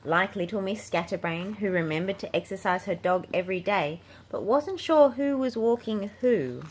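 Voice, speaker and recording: A woman's voice: medium pitch, animated, moderate pace. A woman reading a picture book aloud to children as a storybook narrator. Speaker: children's book reader and channel host. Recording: clearly noisy home recording.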